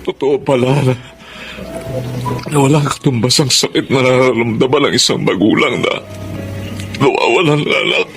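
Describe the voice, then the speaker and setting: A man acting a grieving, devoted widowed father in an emotional, halting radio drama style. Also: medium pitch, natural voice. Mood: anguished grief. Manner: emotional, halting delivery with repeated words. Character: grieving widowed father, devoted and anxious about his children. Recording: music under the voice.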